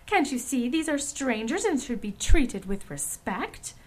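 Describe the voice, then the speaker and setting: A woman's voice: sits high, sing-song, a little slow, exaggerated voice. A woman voicing a dainty, timid young china princess in a storybook, with a small voice and a prim, delicate manner. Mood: prim, confiding. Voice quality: small, frightened little voice.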